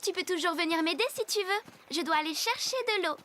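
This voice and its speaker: Sensible girl, extremely high voice. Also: quick pace, very noisy. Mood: teasing. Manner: teasing, sensible, cautious. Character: sensible, teasing young Sioux girl. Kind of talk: animated cartoon dubbing. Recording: loud music under voice. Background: loud music.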